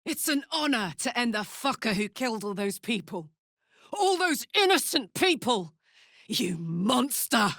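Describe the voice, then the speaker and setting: An actress playing a brash, earthy, big-hearted woman warrior in a video game, blunt and informal, quick to swear. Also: a little high, sing-song, creaky, a bit bright, no echo, heavily acted. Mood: fierce, urgent. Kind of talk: video game character voice acting. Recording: dry close studio recording.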